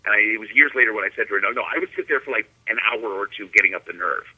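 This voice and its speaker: A man reminiscing casually as a guest on a call, halting and often repeating his words. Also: fairly low pitch, breathy edge. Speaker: guest musician. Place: remote call into the show. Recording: dull, lo-fi call audio.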